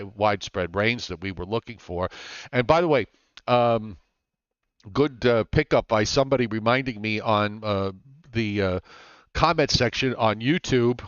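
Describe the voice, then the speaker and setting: A man's voice: medium pitch, fairly bright tone, no room sound, lo-fi sound. A man hosting a live weather show, chatting casually and teasing his co-host, with frequent fillers.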